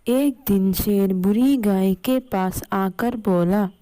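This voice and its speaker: A woman's voice: mid-range voice, slow, mellow. A woman narrating a scripted moral story as a storyteller. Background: background music.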